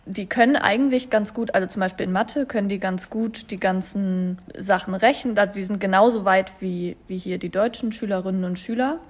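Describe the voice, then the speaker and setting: Woman, math teacher, mid-pitched voice, relaxed explaining, fillers and restarts. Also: brisk, hardly any pauses. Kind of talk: casual interview answer.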